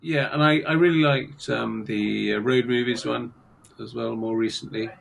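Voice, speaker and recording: A man reflects casually on favorite films as a podcast co-host. His mid-range voice is heavily gravelly, and there is faint noise behind him. He speaks at an easy pace with small hesitations. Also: mid-range voice, steady tone, normal speed, fairly bright tone. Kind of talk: casual film podcast chat.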